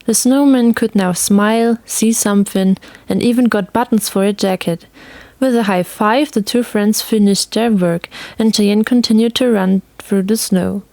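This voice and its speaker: A woman's voice: medium pitch, normal speed, gravelly, dark tone, dry recording. A woman narrator reading a scripted story.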